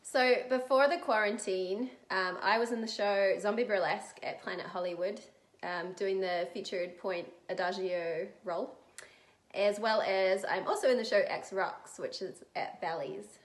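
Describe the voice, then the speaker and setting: A woman with a New Zealand accent talks casually as a guest answering questions. Her pitch is average, and the recording has a clearly roomy echo. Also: moderate pace. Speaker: interviewed guest, dancer. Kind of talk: casual chat.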